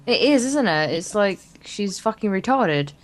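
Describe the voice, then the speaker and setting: A woman's voice: mid-range voice, animated, a little slow. A woman co-hosting a let's play, reading visual novel narration aloud, stumbling over words and adding wry, casual asides. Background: acoustic guitar game music.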